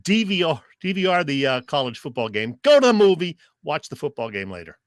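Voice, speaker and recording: A man's voice: a little high, sing-song, a little fast, no echo, clean studio sound. A man co-hosting a sports radio show, chatting casually and conversationally with a joking streak.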